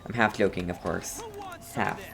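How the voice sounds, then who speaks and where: A woman's voice: extremely low pitch, steady tone, moderate pace, creaky. A woman giving casual, playful commentary as she streams a game, muttering reactions to herself. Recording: faint noise, keyboard typing behind. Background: keyboard typing.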